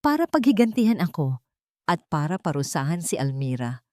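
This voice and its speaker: A woman voicing a serious, guarded but considerate rich man in a romance story, speaking in a measured way. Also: sits low, big pitch swings, a little mellow, dry recording, clean studio sound. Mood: stunned, confronting.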